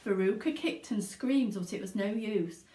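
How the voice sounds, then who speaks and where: A woman narrating a children's storybook aloud in an expressive reading style. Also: mid-range voice, normal speed. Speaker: teaching assistant reading aloud. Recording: echoey room.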